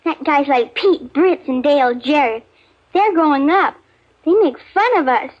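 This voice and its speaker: A woman's voice: high voice, lively intonation, unhurried. An actress playing an eager, secretive young girl with an offhand, slangy, childlike manner in a 1950s radio drama. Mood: scornful. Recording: muffled vintage 1950s radio recording.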